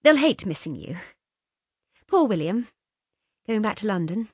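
Audiobook role acted by a woman: affected young wife, breathless and gushing, very animated pitch, dry close sound. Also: measured pace, breathy edge, no room sound. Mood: breathless, awkward. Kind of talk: audiobook character dialogue.

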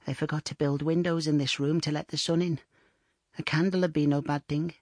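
A man acts out a thumb-sized storybook boy, pitched far up from a grown voice. He is plucky, impish and cheeky, piping his words boldly in a very dry recording. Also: steady tone, a little fast, darkish tone, dry recording, natural voice. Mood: chirpy, plaintive.

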